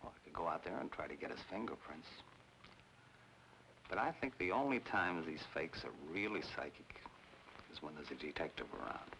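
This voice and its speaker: Matter-of-fact sleuth, low pitch, wry, 1940s noir film, heavy background noise. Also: male voice, animated, moderate pace, creaky, bright, forceful tone, exaggerated voice. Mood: wry, businesslike. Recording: noisy old film soundtrack.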